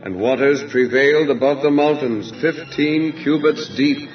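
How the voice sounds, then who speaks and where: A man's voice: a little high, normal speed, soft background music, lightly acted. A man acting as a solemn reader of holy scripture in an audio drama. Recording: muffled, distant audio.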